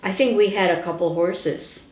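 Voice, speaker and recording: A woman's voice: on the low side, fairly even intonation, moderate pace, darkish tone. An older woman, recalling her childhood as a storyteller with wry asides. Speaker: interviewee. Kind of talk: personal oral-history reminiscence. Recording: roomy indoor echo.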